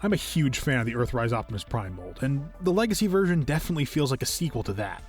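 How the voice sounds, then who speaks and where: A man hosting a video, reading a scripted review in an enthusiastic, expressive and earnest way. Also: mid-range voice, fast talker. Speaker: video host, toy collector reviewing figures. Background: faint music.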